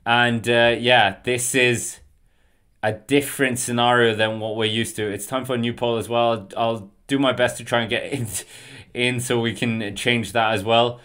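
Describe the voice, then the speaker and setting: A man's voice: mid-range voice, pushed and bright, clear, resonant tone. A Danish man with an English accent, giving casual, rambling live commentary on a stream and often correcting himself. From Denmark. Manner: rambling, with fillers and self-corrections.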